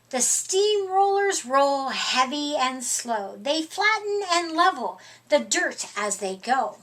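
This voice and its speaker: A middle-aged woman reading a picture book aloud to young children in an expressive, deliberate, emphatic way. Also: high-pitched. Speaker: storytime reader. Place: small furnished room indoors.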